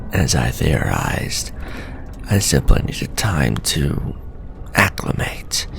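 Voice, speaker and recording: A very deep, gravelly whisper of an obsessive scholar-mage, played by a man, reading his letters home in formal, measured words. The pitch still rises and falls with feeling under the hush, and a faint hiss sits behind it. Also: full character voice. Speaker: ASMR storyteller. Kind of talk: whispered ASMR roleplay reading.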